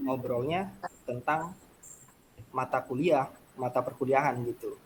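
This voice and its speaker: A man's voice: medium pitch, unhurried, slight background noise, low-quality recording. A young adult man, a student giving prepared answers in a podcast discussion.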